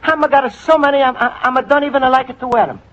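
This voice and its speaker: An actor playing an earnest, broke Italian immigrant man on a date, speaking halting broken English with an Italian accent in 1940s radio comedy style. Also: very high-pitched, fairly even intonation, fairly bright tone. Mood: earnest, flustered. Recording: dull old radio recording with faint noise.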